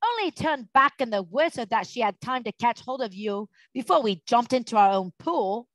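A woman voicing a sensible, sharp-tongued young schoolgirl who speaks with cold, indignant sarcasm in a storybook reading. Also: medium pitch, very animated, normal speed, dry recording, natural voice, poor audio. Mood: sarcastic.

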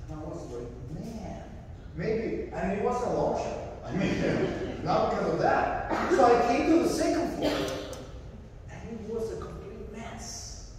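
A man's voice: high-pitched, very animated, a little slow, background noise. A young man from El Salvador with a Salvadoran Spanish accent, a missionary preaching a sermon and telling stories while pacing and gesturing. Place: large church fellowship hall. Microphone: far camera, no mic. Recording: echoey hall from far off.

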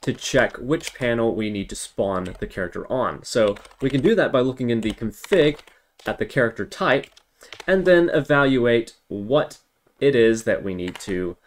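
A man's voice: medium pitch, lively intonation, normal speed. A man giving an informal tutorial, thinking aloud step by step as he works on screen. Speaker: tutorial instructor.

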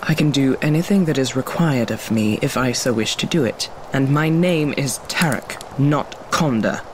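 An actor playing a proud, defensive recruit in a fantasy audio drama, speaking in a stiff, formal way. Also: male voice, medium pitch, moderate pace, background noise, close to an everyday voice. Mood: defiant. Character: proud, defensive male recruit from scorned people.

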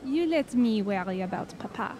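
An actress playing a caring, gently persistent young woman in an audio drama, with a gentle, questioning manner. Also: mid-range voice, lively intonation, normal speed, music in the background, natural voice. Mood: tender.

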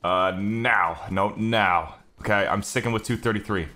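A man's voice: lowish voice, unhurried, pushed and bright. A man giving casual gameplay commentary as a streamer, griping with exclamations and swearing. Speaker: video game streamer.

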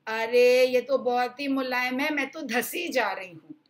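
A woman's voice: high-pitched, unhurried. A woman voicing a curious, fussy little girl in a storybook, exclaiming expressively. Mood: surprised.

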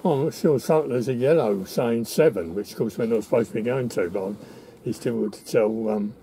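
A man on a train, calmly explaining railway details in a measured, informative way. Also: poor audio. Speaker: fellow passenger, railway enthusiast. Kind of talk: casual chat. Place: heritage train carriage, indoors. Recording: loud train rumble behind.

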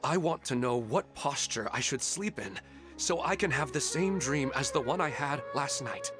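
An actor playing a polite, gentle widower from a village in a video game, courteous and a little hesitant. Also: male voice, medium pitch, expressive, normal speed, faint music behind, close to an everyday voice. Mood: polite, hopeful. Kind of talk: video game character acting.

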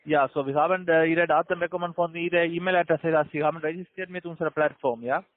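A man with a foreign accent giving a halting telemarketing pitch in broken grammar. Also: steady tone, dry recording. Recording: tinny narrow phone line.

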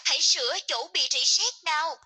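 A woman's voice: high-pitched, normal speed. A woman narrating a children's game in short, scripted, encouraging instructions. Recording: loud game noise over voice. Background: loud game sound effects and ambience.

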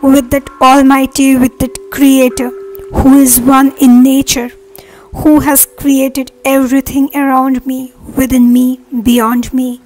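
A woman leading a guided meditation with affirmations, speaking earnestly in measured, separate phrases. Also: high voice, little pitch movement, a little mellow, rough recording. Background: meditation music.

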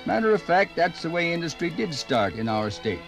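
A man's voice: a little high, sing-song, moderate pace, gravelly. An actor playing a folksy, rambling older small-town man, narrating a 1950s radio program. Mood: folksy, musing. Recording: 1950s radio record, music behind.

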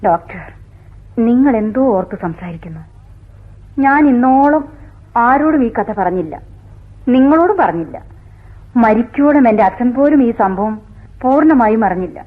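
A woman's voice: on the high side, expressive, moderate pace, long pauses. An actress playing an anguished, accusing woman convict haunted by her killings, in a theatrical, declamatory radio drama style. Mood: intense, confiding. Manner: dramatic, declamatory, swinging from hushed to crying out. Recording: tinny, phone-like old radio recording.

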